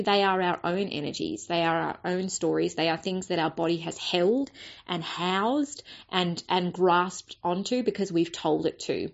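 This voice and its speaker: Raspy Australian woman with a cold. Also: mid-range voice, lo-fi sound. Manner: earnest, repeats words while finding her thought. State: hoarse with a cold and blocked nose. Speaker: podcast host and spiritual business coach. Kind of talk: solo podcast monologue.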